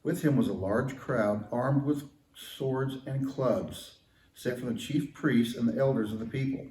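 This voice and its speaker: A man's voice: mid-range voice, measured pace, dark tone. A man giving a solemn scripture reading as a church member at a service, recorded in a church. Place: large indoor church, distant mic. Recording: echoey church from far off.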